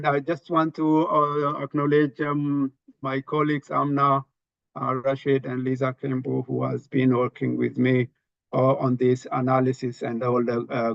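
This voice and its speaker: A man giving a formal webinar presentation in a halting delivery, often repeating words as he goes. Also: medium pitch, vocal fry, dry recording. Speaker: presenter from a global health funding organisation. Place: online webinar call. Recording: dull, lo-fi webinar audio.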